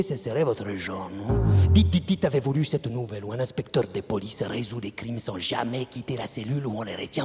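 A man's voice: medium pitch, darkish tone, lightly acted. An actor playing an eccentric, punning male concierge poet who talks in a drawling, theatrical way with whispered asides. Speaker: interrogated suspect. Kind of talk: theatrical monologue acting. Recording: long reverb with faint music.

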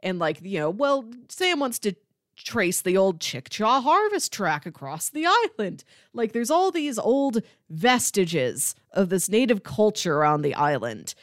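Woman, mid-pitched voice, podcast co-host, casual film discussion, thoughtful, conversational, frequent "like", dry recording. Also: very animated, a little slow, dry recording.

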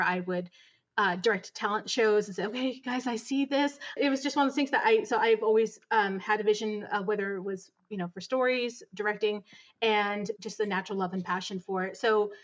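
A dull, slightly lo-fi recording of a woman with a mid-range voice. She speaks casually as an interview guest, building her answers in short, halting phrases. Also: medium pitch. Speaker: interview guest, audio drama director.

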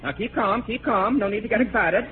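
An actor playing a fussy, officious, exasperated department store floorwalker in a 1940s radio comedy. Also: male voice, high-pitched, brisk, slight background noise, close to an everyday voice. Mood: suspicious, soothing. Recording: tinny old-time radio recording.

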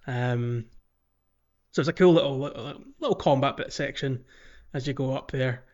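A man's voice: mid-range voice, moderate pace, a little mellow, lo-fi sound. A man hosting a podcast, talking casually about video games in a chatty, hesitant, rambling way. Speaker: podcast host. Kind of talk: casual video game discussion.

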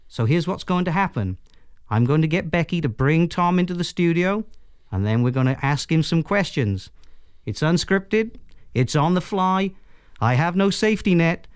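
A man's voice: a little high, smooth, no room sound, clean studio sound. A Welsh man playfully taking over as host of a podcast, talking in an upbeat, jokey way. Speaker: guest host for the day, software executive. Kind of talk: podcast hosting.

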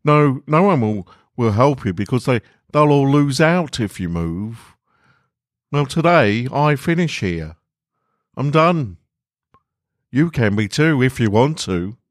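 A man's voice: mid-range voice, lively intonation, slow pace, no echo, clean studio sound, voice pushed up. A man voicing a blunt working man in a story, insistent and exhorting as he pushes someone toward freedom. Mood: exasperated. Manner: emphatic, exhorting.

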